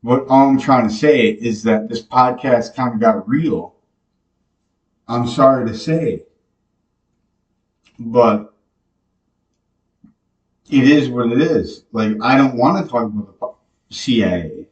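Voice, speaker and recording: A young man delivers a slow, meandering monologue straight to camera, trailing off and restarting with plenty of fillers. He sits a few feet from the microphone in a small, bare, echoey room, so his voice sounds distant and roomy. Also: medium pitch, a little mellow, lo-fi sound. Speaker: podcast host. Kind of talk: rambling devotional monologue. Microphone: camera mic from a few feet away.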